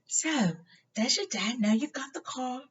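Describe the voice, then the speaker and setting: Woman voicing hushed, curious young boy, raised pitch. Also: expressive, measured pace, heavily acted. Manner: hushed, breathy questioning. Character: quiet, curious young boy wizard, full of wonder. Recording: dull lo-fi, far from the mic.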